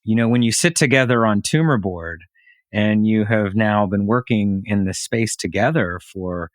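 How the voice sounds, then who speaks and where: Low-voiced man hosting an interview podcast. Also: moderate pace, no room sound. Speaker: podcast host.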